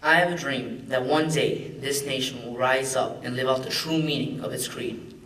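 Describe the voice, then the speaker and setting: A young man with a mid-pitched voice reads a famous speech aloud as a student reader. The sound is very echoey and distant from the microphone. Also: moderate pace, a bit bright. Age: young adult. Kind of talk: dramatic reading of a famous speech. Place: large echoey room at a public event. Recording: echoey hall, far from the mic.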